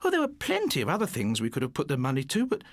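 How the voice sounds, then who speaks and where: Guy doing a cross parent telling off a kid in an audiobook, highish, lots of up and down. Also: huge pitch swings, quick pace, no echo, studio quality, heavily acted. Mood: reproachful. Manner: scolding. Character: reproachful middle-aged parent, cross and scolding. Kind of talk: audiobook character voice.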